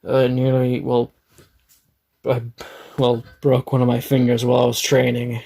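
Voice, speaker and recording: Raspy worn-out teen boy rival, a guy putting him on, halting, casual talk, home mic. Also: mid-range voice, monotone, dark tone. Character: tired raspy teenage boy hero, rival.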